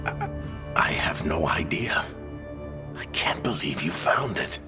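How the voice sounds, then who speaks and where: A weathered middle-aged companion from a fantasy game, telling things gravely and dramatically. The voice is very low and unhurried, swinging expressively, with music underneath. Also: male voice, big pitch swings, heavily acted. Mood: weary. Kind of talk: video game dialogue and narration.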